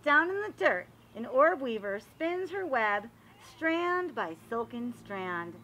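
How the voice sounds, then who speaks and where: A woman reading a picture book aloud to young children, animated and expressive, pausing to explain and ask questions. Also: fairly high pitch, a little hiss or hum. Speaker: read-aloud storyteller for children.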